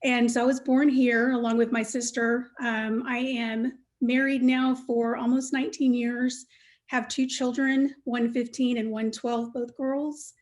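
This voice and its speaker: A woman's voice: fairly high pitch, flat delivery, a little slow. A middle-aged American woman from Texas talking conversationally as a panelist. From United States, Texas.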